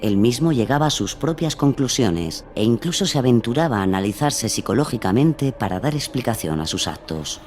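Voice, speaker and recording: A man's voice: medium pitch, lively intonation, a little fast, hardly any pauses, darkish tone, natural voice. A man narrating a true-crime radio drama as a scripted documentary account.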